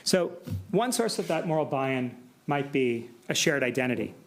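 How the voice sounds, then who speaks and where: An American man delivering an academic symposium lecture, measured and explanatory, with frequent asides. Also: mid-range voice, expressive, soft background music. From United States. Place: lecture hall.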